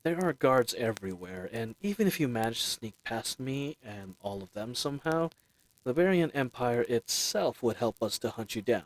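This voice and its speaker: Man playing a charming, lively bandit leader. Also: mid-range voice, moderate pace, lightly acted, low-quality recording. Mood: firm, commanding. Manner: casual, chatty, playfully teasing. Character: charismatic, friendly male bandit leader, teasing and roguish. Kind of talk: fantasy audio roleplay acting, speaking intimately to listener.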